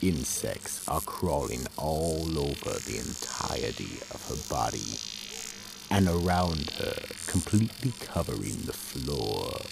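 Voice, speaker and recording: A man playing a grave, ominous horror radio narrator, reading scripted drama in a very deep, gravelly, measured voice. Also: sing-song, heavy background noise, exaggerated voice.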